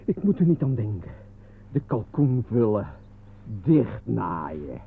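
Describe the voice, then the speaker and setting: An actor playing a fussy, self-pitying gluttonous office worker, melodramatic and lamenting, in a radio play. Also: male voice, on the low side, sing-song, takes it slowly, creaky, breathy edge, heavily acted. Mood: queasy, self-pitying. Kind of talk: absurdist radio play acting. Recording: narrow, phone-like old radio recording.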